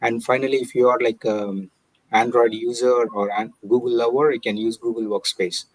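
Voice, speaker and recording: A man's voice: medium pitch, fairly even intonation, normal speed, no room sound, poor audio. A man giving prepared, explanatory tips in a methodical, step-by-step manner. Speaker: online business owner giving tips.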